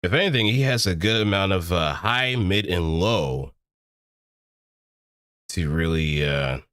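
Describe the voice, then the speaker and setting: A man streaming a casual tutorial, explaining things step by step in a deliberate, halting way with emphatic repetition. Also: sits low, lively intonation, fairly bright tone, clear, resonant tone, dry recording, studio quality.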